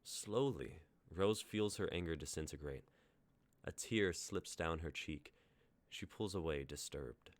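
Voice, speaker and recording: A man reading stage directions aloud for a script reading in a plain, measured way. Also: rumbling low.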